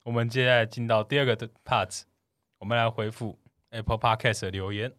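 A man's voice: mid-range voice, steady tone, fairly quick, fairly bright tone, no echo, clean studio sound. A man co-hosting a podcast, chatting casually and reading out comments from listeners. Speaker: podcast co-host.

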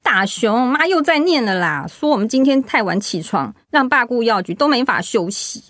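A woman's voice: a little high, animated, moderate pace, a bit bright, no room sound, studio quality. A woman acting in a radio drama as a discontented wife, complaining and insistent. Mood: aggrieved complaining. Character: discontented, nagging young wife at end of patience.

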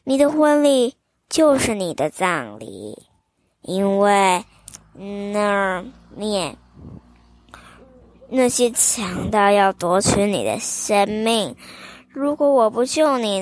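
A woman doing a hushed old grandmother. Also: medium pitch, sing-song, slow pace, no room sound. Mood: grave warning. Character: kind old woman hiding a girl. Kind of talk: children's storytelling.

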